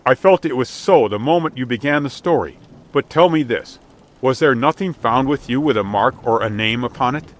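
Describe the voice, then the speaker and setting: A man reading a storybook, voicing an excitable wealthy gentleman merchant with emphatic, exclamatory, old-fashioned speech. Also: mid-range voice, moderate pace, lightly acted. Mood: excited. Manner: exclamatory, emphatic, repeats himself, archaic wording. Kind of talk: dramatic audiobook character reading.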